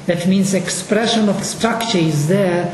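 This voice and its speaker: Brief: Russian-accented linguistics lecturer, mellow tone, slow and deliberate delivery, echoey hall with faint room hum. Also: mid-range voice. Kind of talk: course lecture. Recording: echoey hall, mic at a distance.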